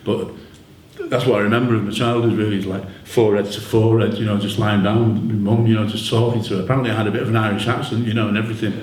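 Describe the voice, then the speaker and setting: A man's voice: lowish voice, darkish tone. A middle-aged man with a Manchester accent telling personal stories to an audience in a candid, rambling, colloquial way. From Manchester, England. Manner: candid, rambling, colloquial, frequent "you know". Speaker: author and musician interviewed at his book launch. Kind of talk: informal personal storytelling to an audience. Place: indoor event hall, on stage. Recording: roomy live venue with background noise.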